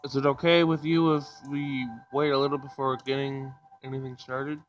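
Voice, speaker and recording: A man voicing a shy, earnest young man in a visual novel, speaking in a halting, hesitant way. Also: mid-range voice, flat delivery, faint music behind, close to an everyday voice. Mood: embarrassed.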